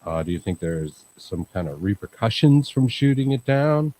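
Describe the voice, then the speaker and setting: Calm low-voiced man hosting. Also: normal speed. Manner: calm, rambling, many fillers. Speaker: host. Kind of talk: casual livestream chat.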